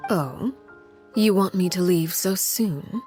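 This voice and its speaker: Composed, low-voiced gracious woman leader. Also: loud music behind the voice. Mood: cool, gracious. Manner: formal, unhurried, polite. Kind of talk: video game voice acting.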